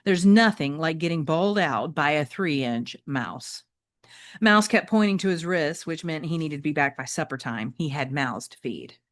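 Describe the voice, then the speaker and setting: A woman with a fairly low voice reads a children's story aloud like a teacher. Her phrasing is expressive and addressed directly to young listeners. The recording is dry, with little sense of a room. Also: normal speed, no room sound. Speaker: teacher reading aloud to students.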